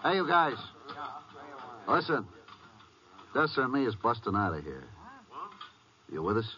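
An actor in an American radio western playing a hot-tempered, domineering jail inmate with curt, rough speech. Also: male voice, medium pitch, very animated, unhurried, pushed and bright. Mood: bossy, urgent. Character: bullying, hot-tempered male jail inmate plotting a breakout. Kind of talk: old-time radio drama acting. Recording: dull old radio transcription.

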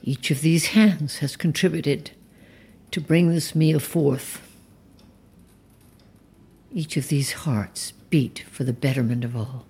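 An actor playing a calm, zealous leader of an anti-technology movement in an audio drama, speaking in a measured, solemn, deliberate way. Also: male voice, a little high, husky, exaggerated voice. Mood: solemn, commanding. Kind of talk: immersive audio drama acting.

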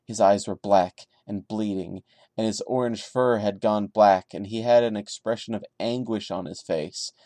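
A man with a fairly low voice reads a creepy story aloud in a theatrical style. He slips into sarcastic, disbelieving commentary as a podcast host would. The recording is close and dry, with little sense of a room. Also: fairly even intonation, moderate pace, dark tone, dry recording. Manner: theatrical narration broken by incredulous, sarcastic asides. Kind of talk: dramatic reading with mocking commentary.

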